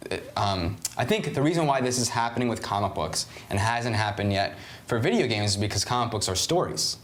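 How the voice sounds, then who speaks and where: A man's voice: on the low side, expressive, fairly quick. A man co-hosting a podcast, arguing emphatically, often stumbling and restarting, and swearing freely. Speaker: co-host. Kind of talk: casual debate on a podcast.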